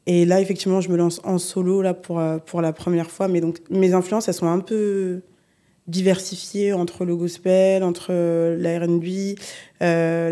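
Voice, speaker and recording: A young adult woman singer from the Paris region, chatting in an unhurried way as a podcast guest, with frequent fillers. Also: lowish voice. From Paris region, France. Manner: relaxed, unhurried, many fillers. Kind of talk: casual interview chat.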